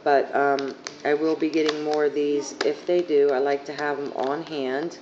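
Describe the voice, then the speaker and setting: A young woman with a hoarse, fading voice rambling casually through a makeup haul, full of fillers, filmed on a phone on her living room couch. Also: sits low, flat delivery, moderate pace. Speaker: beauty vlogger. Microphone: phone camera at arm's length. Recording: dull lo-fi phone audio, faint hiss.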